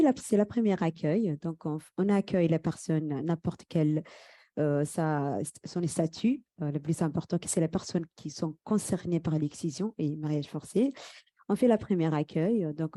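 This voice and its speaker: Woman from Somalia, native Somali speaker, lowish mellow voice, halting presenter, dry sound. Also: no echo. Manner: halting, repeating words while searching for phrasing. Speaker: community worker and interpreter. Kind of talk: spoken conference presentation. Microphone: microphone.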